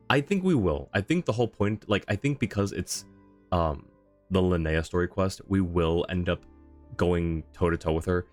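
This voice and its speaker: Low-voiced man casually streaming a game. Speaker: game livestreamer. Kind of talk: casual live commentary, answering chat.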